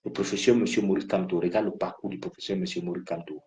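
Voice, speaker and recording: A man's voice: low voice, flat delivery, fast, a little mellow. A man from Cameroon, giving an impassioned political address and hammering the same phrases again and again. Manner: fervent, repetitive, hammering phrases. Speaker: political activist. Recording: muffled lo-fi recording.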